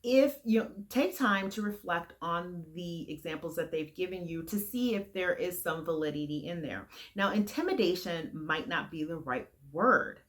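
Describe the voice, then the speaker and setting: Woman vlogger, deep-ish heavy voice, loud, talks frank n earnest w lots of restarts. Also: expressive, moderate pace, hardly any pauses. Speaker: vlogger giving career advice. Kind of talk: casual advice to camera.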